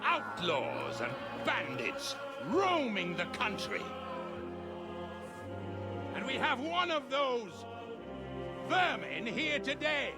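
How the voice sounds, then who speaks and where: An actor playing a pompous, stern official who declaims public proclamations in a medieval video game drama. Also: male voice, very high voice, huge pitch swings, slow pace, long pauses, loud music behind the voice, exaggerated voice. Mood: proclaiming, contemptuous. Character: pompous, stern male official presiding over a hanging.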